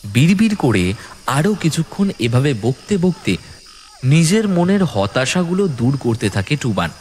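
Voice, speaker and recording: A man narrating a scripted horror story in a dramatic, suspenseful way with deliberate phrasing. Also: a little high, very animated, darkish tone, slight background noise.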